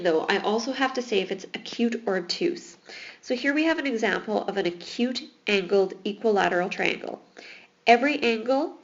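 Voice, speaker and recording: A woman's voice: mid-range voice, muffled recording, slight background noise. A woman teaching math in a recorded lesson, explaining patiently while losing her voice. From Alberta, Canada.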